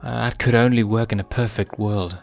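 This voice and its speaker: A man's voice: lowish voice, steady tone, normal speed, vocal fry. A man voicing an earnest, conflicted young male lion with a stammering, questioning, pleading manner in a story reading. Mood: anxious.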